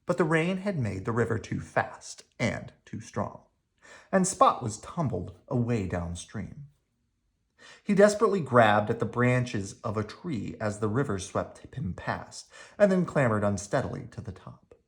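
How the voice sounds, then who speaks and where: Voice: medium pitch, sing-song, unhurried, creaky. A storyteller reading a children's picture book aloud in a dramatic, playful manner. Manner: dramatic, with playful sound words. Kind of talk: animated read-aloud storytelling.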